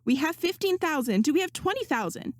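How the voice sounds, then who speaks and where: A woman's voice: sits high, big pitch swings, fairly quick, no echo, studio quality, pitched above the performer's own voice. A woman playing a poised, showy female charity auctioneer who works the crowd with a projected announcer delivery in a story reading. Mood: showy, rousing.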